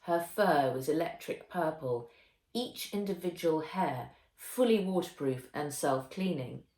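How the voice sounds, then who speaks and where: A woman reading a children's novel aloud as its author, in a measured, expressive storytelling way. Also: deep voice. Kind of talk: children's audiobook narration. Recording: roomy echo.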